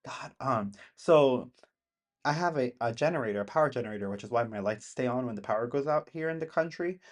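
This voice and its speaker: Rambly streamer in their 30s, laughs a lot, mid pitch, chatting from home out in the country. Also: lively intonation, brisk. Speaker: live streamer. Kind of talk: casual live-stream chat with viewers.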